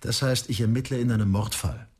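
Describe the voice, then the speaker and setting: A man's voice: mid-range voice, fairly even intonation, a little fast, darkish tone, husky, close to an everyday voice. An actor playing a brooding male homicide detective in a radio drama, curt and stern. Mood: earnest.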